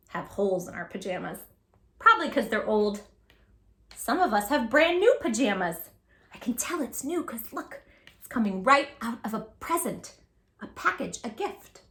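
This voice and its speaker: Animated woman reading a picture book aloud. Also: on the high side, reverberant. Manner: animated, sing-song, talks to children with questions. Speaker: children's book reader. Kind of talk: read-aloud with tips for parents. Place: home room with posters.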